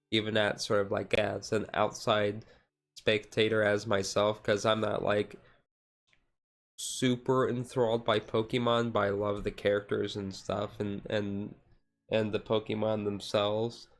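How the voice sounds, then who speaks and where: A man chatting casually on a chill livestream, rambling along with lots of "like" and "and stuff". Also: lowish voice. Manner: rambling, hedging with repeated "like" and "and stuff". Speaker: streamer. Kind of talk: relaxed ASMR chill stream.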